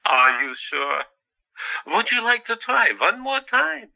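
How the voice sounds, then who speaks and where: An actor playing a playful, wryly melancholy male asylum patient in an audio drama, teasing with halting pauses. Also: very high-pitched, very animated, creaky, pushed and bright, highs cut off, exaggerated voice.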